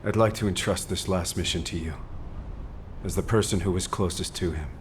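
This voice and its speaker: A man playing a respectful, earnest military officer in a video game, grave and gentle in delivery. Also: sits low, brisk, noisy background, lightly acted. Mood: solemn, careful. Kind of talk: video game story voice acting.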